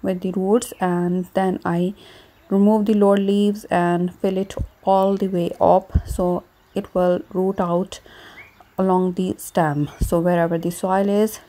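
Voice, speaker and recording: A woman host calmly explaining her gardening work, speaking outdoors on a garden patio while she transplants seedlings. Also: lowish voice, slow. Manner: calm, even, explanatory. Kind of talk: casual gardening tutorial narration.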